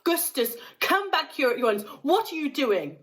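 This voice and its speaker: A woman's voice: high voice, very animated, unhurried, fairly bright tone, pitched above the performer's own voice, full character voice. A woman voicing a frantic, bossy, outraged mother in a storybook, scolding and exclaiming shrilly. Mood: frantic screaming.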